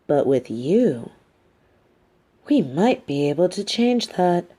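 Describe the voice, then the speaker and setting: Lady doing a supervillain woman for audio roleplay, slow n mellow, condescending, coolly menacing, kinda sympathetic but manipulative. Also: on the low side, very animated, husky, full character voice. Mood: sly, calculating. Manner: slow, deliberate, condescending, theatrical. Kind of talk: scripted audio roleplay addressed to the listener.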